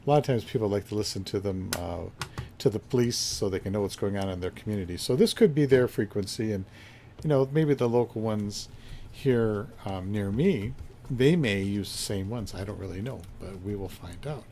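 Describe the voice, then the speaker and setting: A man's voice: on the low side, normal speed, dark tone. A man giving a casual hands-on demonstration, musing and thinking aloud as he goes. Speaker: reseller reviewing a vintage find. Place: indoors, in a basement. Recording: faint background noise.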